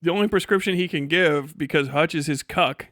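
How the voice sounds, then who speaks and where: Man, higher-pitched voice, dry close sound, casual streamer commentary, blunt, sardonic, profane. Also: fairly quick, fairly bright tone, no room sound. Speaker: livestream host.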